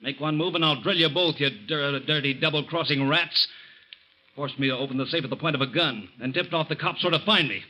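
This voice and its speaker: An actor playing a tough, cunning young American convict in a radio crime drama, talking in hard-boiled slang. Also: male voice, on the high side, brisk, sharp tone, lo-fi sound. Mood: menacing. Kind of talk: old-time radio crime drama acting.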